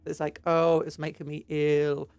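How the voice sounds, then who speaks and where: A woman's voice: low-pitched, completely flat delivery, unhurried, darkish tone, faint music behind. Stuffy-nosed British woman chatting on stream. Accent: British English. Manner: rambling, chatty, reads and answers chat. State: has a cold, blocked nose and sore throat. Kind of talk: casual live-stream chat with viewers.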